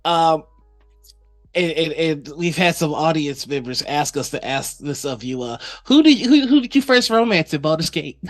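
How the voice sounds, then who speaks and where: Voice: mid-range voice, animated, normal speed. A show host interviewing a guest on a live stream, enthusiastic and stumbling over restarts.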